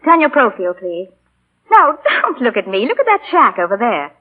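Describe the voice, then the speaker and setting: A young woman acting in 1940s radio style as a self-assured artist, brisk, bantering and teasing. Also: on the high side, very animated, breathy edge. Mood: brisk, amused. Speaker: radio actress. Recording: tinny, band-limited 1940s radio broadcast.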